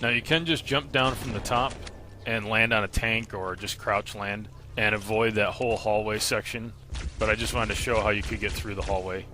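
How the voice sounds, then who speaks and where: A man's voice: lowish voice, fairly even intonation, normal speed, noisy background. A man giving matter-of-fact, explanatory gameplay commentary as the narrator of a video game walkthrough. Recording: loud game audio behind voice. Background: shooter game sound effects.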